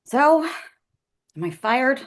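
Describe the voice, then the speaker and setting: Female, highish and animated, an actress as a blunt, defiant young angel with heart, informal delivery for a screenplay read. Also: takes it slowly, full character voice. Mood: startled.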